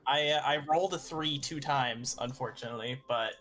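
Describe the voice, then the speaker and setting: A man playing a tabletop game, chatting casually and thinking aloud in a halting way. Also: medium pitch, a bit bright.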